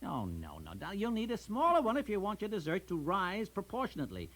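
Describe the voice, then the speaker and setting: An actor playing a pedantic, condescendingly helpful husband who lectures insistently in a 1960s radio domestic comedy. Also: male voice, high-pitched, huge pitch swings, fairly quick, fairly bright tone, exaggerated voice. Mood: fussy, insistent. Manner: lecturing, insistent, talks over his wife. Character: pedantic middle-class husband, fussy about arithmetic, condescendingly helpful.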